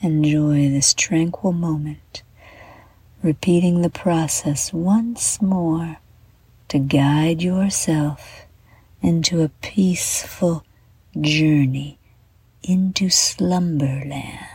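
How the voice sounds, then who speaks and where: A woman guiding a children's bedtime meditation in a soft, breathy half-whisper, gently and soothingly, with deliberate pauses. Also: deep voice, no room sound. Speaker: meditation guide and show host.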